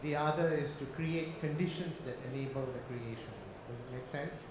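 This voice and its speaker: A middle-aged man with a Malaysian accent asking a question from the floor in deliberate, broken phrases. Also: medium pitch. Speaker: audience questioner, startup organisation head. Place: conference hall. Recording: very echoey, noisy conference hall.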